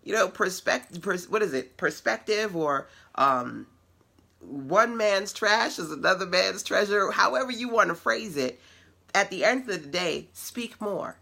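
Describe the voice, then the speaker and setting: A middle-aged woman with a deep but bright, forceful voice teaches a live web class. She talks to her audience in a conversational, encouraging way and tosses in playful asides. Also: expressive, measured pace, vocal fry. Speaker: web class host and business coach. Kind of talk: casual teaching to an online audience.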